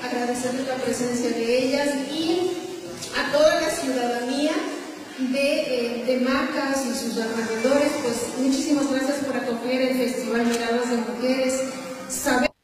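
A woman's voice: high voice, steady tone, moderate pace. A woman giving a formal welcome speech and thanks as an event organizer. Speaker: festival organizer giving opening remarks. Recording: very echoey hall, distant mic, noisy.

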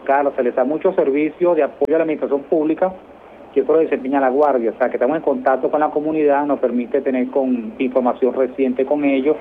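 A man's voice: mid-range voice, fairly even intonation, fast talker. A middle-aged man with a Venezuelan accent, answering interview questions in a conversational way as a military guest. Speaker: interview guest, army colonel. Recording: noisy, tinny phone-like line.

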